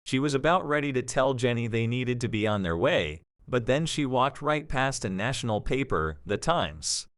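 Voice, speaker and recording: A man's voice: medium pitch, lively intonation, normal speed, few pauses, fairly bright tone, no echo. A man reading a scripted story as the narrator of an audio drama.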